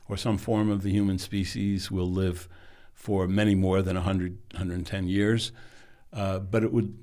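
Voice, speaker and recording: A man who runs a company, a podcast guest who talks in a measured, thoughtful way, often trailing off softly. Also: low voice, flat delivery. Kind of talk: casual interview conversation.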